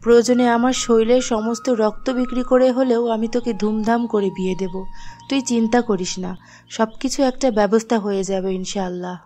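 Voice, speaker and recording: Woman acting a mellow-voiced, devoted widowed mother. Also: fairly high pitch, fairly even intonation, moderate pace, soft background music, natural voice. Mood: earnest, reassuring. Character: poor, devoted widowed middle-aged mother. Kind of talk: scripted cartoon drama.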